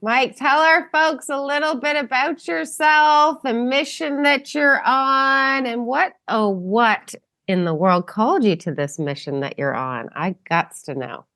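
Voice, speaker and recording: A woman's voice: sits high, unhurried, fairly bright tone, dry recording, studio quality. A woman co-hosting a podcast, asking questions in a playful, upbeat way. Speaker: podcast co-host. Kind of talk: casual conversational interview.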